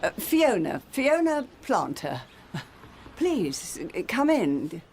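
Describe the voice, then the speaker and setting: An actress playing a fussy, genteel older widow and retired teacher who gets flustered and stammers, in a radio play. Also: female voice, a little high, sing-song, slow pace, darkish tone, slight background noise, full character voice.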